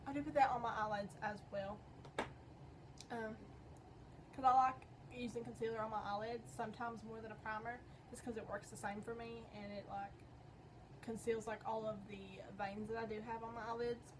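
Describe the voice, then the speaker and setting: A young woman with a slightly hoarse voice, chatting her way through a makeup tutorial in a rambling, filler-heavy way. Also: a little high, fairly even intonation, normal speed. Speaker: beauty vlogger. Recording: noisy home recording.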